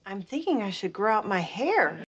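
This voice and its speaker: An actress playing a film character who muses aloud about a change, heard on dull, lo-fi film audio. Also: female voice, mid-range voice, sing-song, normal speed, husky. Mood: musing. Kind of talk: film dialogue.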